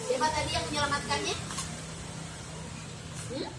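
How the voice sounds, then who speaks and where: A woman's voice: sits high, steady tone, normal speed, distant mic. A young woman teacher reading a story aloud in a classroom, then quizzing a child with coaxing questions and half-finished words for the child to complete. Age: young adult. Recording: echoey room, voice from off-mic, clear noise.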